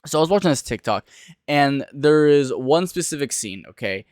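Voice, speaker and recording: A man's voice: on the high side, lively intonation, moderate pace, darkish tone, no echo, clean studio sound. A young man giving casual reaction commentary as a video reviewer, talking in a rambling, informal and emphatic way.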